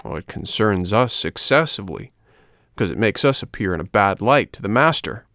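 A man's voice: lowish voice, very animated, moderate pace, fairly bright tone, no echo, studio quality. A man voicing mocking rascal schoolboys in a storybook, with a jeering, emphatic and bullying manner. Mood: bossy.

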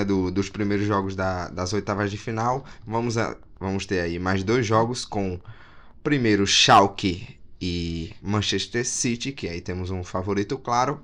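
A man's voice: lowish voice, a bit bright. A man with a Brazilian accent hosting a football podcast in a casual, conversational way.

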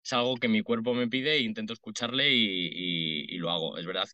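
Madrid man in his thirties, very bright low voice. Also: fairly quick, no room sound, lo-fi sound. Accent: Madrid Spanish. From Madrid, Spain. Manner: informal, colloquial. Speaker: podcast guest, entrepreneur. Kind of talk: conversational interview answers.

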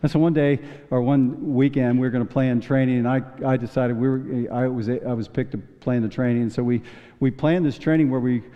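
A male pastor preaching a sermon in a folksy storytelling manner, stumbling into restarts and chuckling now and then, with a voice he says is going hoarse. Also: mid-range voice, fairly even intonation, brisk. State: losing his voice. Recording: dull long church reverb.

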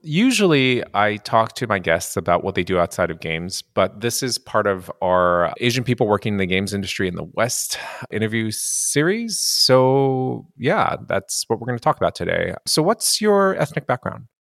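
A man's voice: on the low side, sing-song, moderate pace, hardly any pauses, fairly bright tone, no room sound, studio quality. A man hosting a casual interview podcast who talks in an easygoing way, laughs along with his guest and uses loose fillers. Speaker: podcast host, indie game producer.

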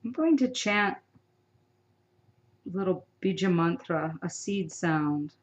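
A woman guides a sleep meditation in a lowish, gentle voice. She speaks very slowly and softly, with long pauses between soothing instructions. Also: lively intonation, highs cut off.

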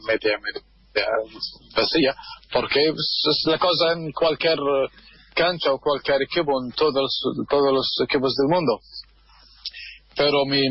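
Husky man, halting speech. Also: medium pitch, dull audio. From Kuwait. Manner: hesitant, many pauses and fillers while searching for words. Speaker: guest, football fan. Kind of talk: radio interview over a line.

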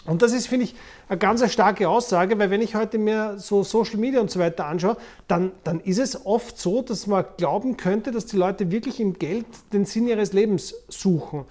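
A man's voice: high-pitched, moderate pace, a little mellow. Podcast host, male, forties, Viennese accent; unscripted, explanatory delivery with repeated words for emphasis. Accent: Viennese Austrian. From Vienna, Austria. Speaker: podcast host, former investment banker. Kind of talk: unscripted podcast talk about money.

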